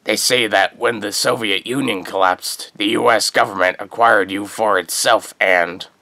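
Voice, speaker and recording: A man's voice: fairly low pitch, steady tone, moderate pace, bright, forceful tone, no room sound. An actor playing a scheming, deferential crime lord in a radio drama, formal and placating in the way he talks. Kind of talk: comic-book radio drama acting.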